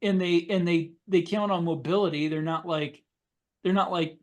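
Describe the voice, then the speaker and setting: Male American podcast co-host, slightly high register, casual conversational delivery. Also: fairly even intonation, normal speed. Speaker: podcast co-host, military science fiction author. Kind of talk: casual discussion.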